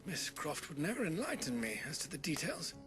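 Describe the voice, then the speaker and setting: A man's voice: on the high side, sing-song, fairly quick, music in the background. A man playing a genteel, discreet butler in a video game cutscene, formal, polite and understated. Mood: courteous, wistful.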